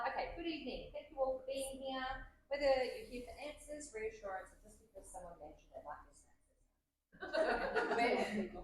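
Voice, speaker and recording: A woman's voice: on the high side, noisy background. A woman host giving an upbeat introduction to a speaker in front of an audience. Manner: upbeat, light laughs. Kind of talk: introduction speech. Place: hall with an audience. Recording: echoey room from far off.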